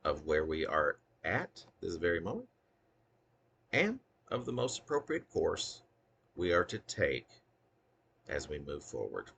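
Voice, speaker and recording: Male poet, deep and creaky, earnest deliberate delivery of his own verse with offhand asides. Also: huge pitch swings, a bit bright, dull audio. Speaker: poet reading own work. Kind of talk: spoken poetry reading with casual asides.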